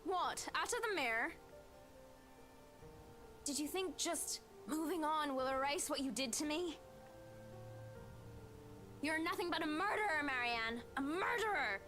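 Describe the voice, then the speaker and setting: High, taunting ghost woman. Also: animated, a little slow, pauses often, sharp tone, soft background music, full character voice. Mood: accusing, furious. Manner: mocking, accusatory. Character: vengeful ghost of a woman, taunting, accusing, theatrical.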